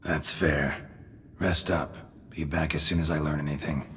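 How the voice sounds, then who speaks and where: An actor playing a gruff, weary monster hunter in a video game, terse and deadpan in short, understated sentences. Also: male voice, very deep voice, unhurried, a little hiss or hum. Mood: matter-of-fact. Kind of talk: video game dialogue acting.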